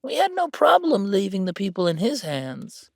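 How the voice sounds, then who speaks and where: A man's voice: sits high, huge pitch swings, normal speed, vocal fry, no room sound, clean studio sound, voice pushed up, heavily acted. A man playing a smug, condescending noble envoy with a haughty, mock-polite and theatrical manner in an audio drama.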